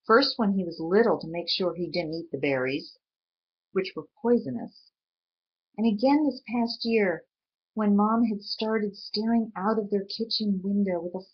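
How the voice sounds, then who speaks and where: A woman reading a storybook aloud in an expressive, deliberate way, with dramatic pauses. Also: medium pitch, slightly rough. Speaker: reader. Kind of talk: storybook read aloud, expressive scripted narration. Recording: dull lo-fi recording.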